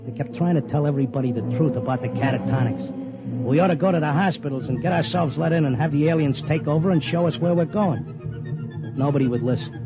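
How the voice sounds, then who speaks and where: A man's voice: fairly high pitch, quick pace, close to an everyday voice. An American actor playing a brash, streetwise racetrack tipster in a 1950s radio drama, with blunt, slangy wise-guy talk. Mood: weary recounting. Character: brash, streetwise male racetrack tipster, stubborn and plain-spoken. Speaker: lead character and narrator. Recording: muffled 1950s radio broadcast, music behind.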